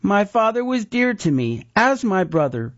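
A man voicing a cold, scheming young woman who speaks softly and with false tenderness, on a dull lo-fi recording. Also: high voice, big pitch swings, unhurried, no echo, pitched above the performer's own voice.

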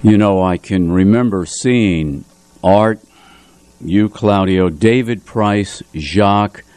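A man's voice: on the low side, slow, a little mellow, clear, resonant tone, no echo. A man co-hosting a live radio show, talking casually and reminiscing in the studio. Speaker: radio co-host.

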